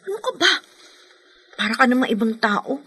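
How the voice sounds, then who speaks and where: A Filipino woman playing a caring, gently insistent younger sister in radio drama, earnest and reassuring. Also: fairly high pitch, very animated, moderate pace, husky, exaggerated voice. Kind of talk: Tagalog radio drama acting.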